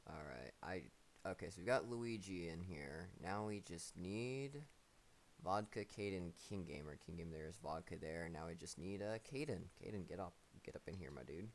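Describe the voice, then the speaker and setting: A man's voice: sits low, animated, moderate pace, a little hiss or hum. A man hosting a mobile game tournament on a live stream, talking in a laid-back, slangy, joking way. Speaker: tournament host.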